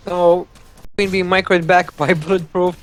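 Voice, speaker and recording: High-voiced man doing play-by-play. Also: little pitch movement, a little hiss or hum. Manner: measured play-by-play with frequent "uh" fillers. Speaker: esports co-caster. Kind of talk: live game commentary.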